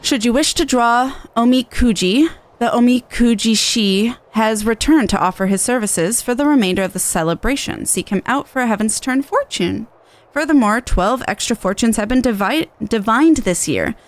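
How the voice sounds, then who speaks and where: A woman game streamer reading game text aloud and commenting as she plays, in an expressive way. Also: a little high, normal speed. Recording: game music behind the voice. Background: video game music.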